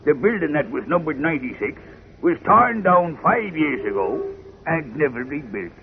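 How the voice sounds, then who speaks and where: A man's voice: on the high side, big pitch swings, normal speed. An actor playing a friendly, teasing Irish policeman with folksy, singsong banter in an old radio drama. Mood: jovial, teasing. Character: jovial Irish beat cop, teasing and friendly. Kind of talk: 1940s radio acting. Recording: noisy, tinny old radio recording.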